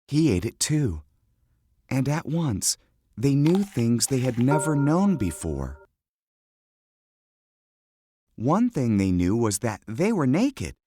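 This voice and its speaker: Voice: medium pitch, a little mellow, dry recording, studio quality. A narrator reading a scripted children's Bible story.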